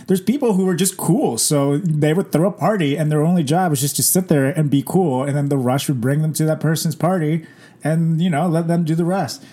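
A man's voice: fairly high pitch, a little fast, warm tone. A young man from Venezuela co-hosting a podcast, chatting casually and joking around with frequent laughs. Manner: joking, laughs often, loose storytelling. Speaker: podcast co-host. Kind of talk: casual chat.

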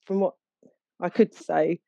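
A woman with an Essex English accent talking casually and a little haltingly as an interview guest. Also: on the low side, fairly even intonation, creaky, mellow, no room sound, muffled recording. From Essex, England. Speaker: podcast guest, charity founder.

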